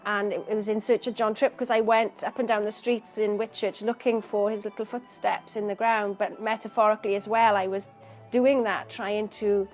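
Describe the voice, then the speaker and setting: A woman's voice: medium pitch, flat delivery, moderate pace, hardly any pauses, soft background music. A woman poet from Wales, talking about her work in an earnest, thoughtful interview, searching for words as she goes. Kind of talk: reflective interview talk.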